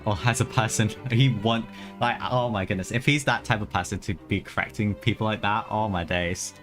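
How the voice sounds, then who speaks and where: A man's voice: on the low side, steady tone, a little fast, a bit bright. A man doing casual livestream game commentary, hesitant, thinking aloud and repeating himself. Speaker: game streamer. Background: game music.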